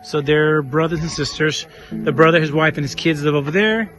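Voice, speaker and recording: An upbeat man interviews families outdoors in a casual vlog style, his voice sitting a little high for a man. Music plays clearly under the talk. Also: moderate pace, low-quality recording. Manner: upbeat, friendly questioning, switches into Spanish.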